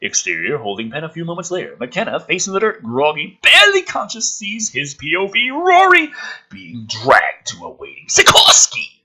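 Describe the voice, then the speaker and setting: A man hosting a theatrical script reading, with dramatic emphasis and sarcastic, exasperated commentary in a rough-edged voice. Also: fairly high pitch, huge pitch swings, moderate pace, bright, forceful tone, rough recording. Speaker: host reading and mocking a film script.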